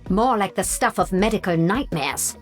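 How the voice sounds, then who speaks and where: An actress playing a dry-witted, scolding combat medic in a video game, wry and teasing. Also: female voice, medium pitch, animated, normal speed, faint music behind, natural voice. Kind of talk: video game character voice acting.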